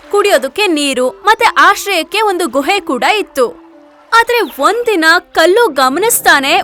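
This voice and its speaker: Very high, bright woman narrating children's stories. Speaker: storyteller narrator. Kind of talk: scripted children's story narration. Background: music.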